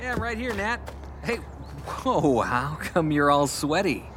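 A man playing an easygoing, affectionate middle-aged dad in an audio drama, casual and gentle in manner. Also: fairly high pitch, very animated, slow pace, heavy background noise. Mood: relaxed, puzzled.

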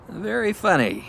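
Easygoing, affectionate dad. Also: male voice, high voice, vocal fry, a bit bright, a little hiss or hum, exaggerated voice. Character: easygoing, affectionate middle-aged suburban dad. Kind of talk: audio drama acting.

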